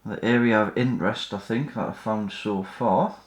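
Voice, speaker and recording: A man's voice: on the low side, fairly even intonation, normal speed. A man giving casual hands-on repair commentary, tentatively thinking aloud as he works. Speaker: host of an electronics repair channel. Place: indoors at a repair workbench. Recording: roomy, echoey indoor sound.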